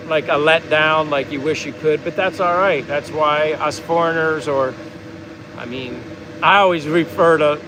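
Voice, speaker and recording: A man's voice: a little high, noisy background. An American man hosting a casual vlog, talking in a rambling, easygoing way as he reads out viewer comments. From United States. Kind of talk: casual livestream chat.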